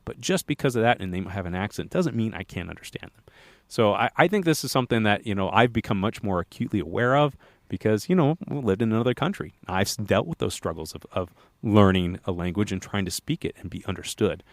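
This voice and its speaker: Man, podcast co-host, casual rambling chat, low pitch, dry sound. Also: animated, fast, dry recording. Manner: rambling, restarts with many fillers.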